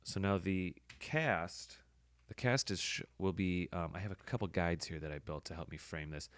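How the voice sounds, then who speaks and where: A man with a hoarse voice, casually talking through his editing work as a podcast host, thinking aloud with fillers. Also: deep voice, normal speed, fairly bright tone, dry recording. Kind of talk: casual screen-recording commentary.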